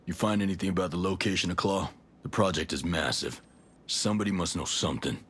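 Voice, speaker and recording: A man playing a gruff veteran covert operative in a video game, speaking tersely and with control in a gravelly voice. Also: sits low, fast, fairly bright tone. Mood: terse, focused. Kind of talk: video game cutscene acting.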